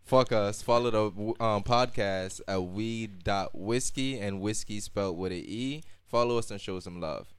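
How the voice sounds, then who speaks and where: A man's voice: fairly low pitch, moderate pace, hardly any pauses, clean tone, no room sound. A young man hosting a casual podcast, laid-back and joking, with plenty of swearing. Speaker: podcast host.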